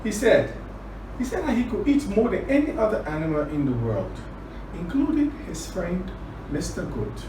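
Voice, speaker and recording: A man from Liberia telling a children's story and teaching words, deliberate, repeating phrases for emphasis. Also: high-pitched, sing-song. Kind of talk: children's storytelling and word lesson. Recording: roomy, distant, clearly noisy recording.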